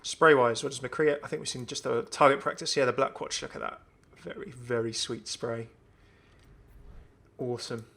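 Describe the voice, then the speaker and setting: A man's voice: mid-range voice, steady tone, moderate pace, gravelly, sharp tone. A young man giving casual, enthusiastic commentary on a video game. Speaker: gaming video host.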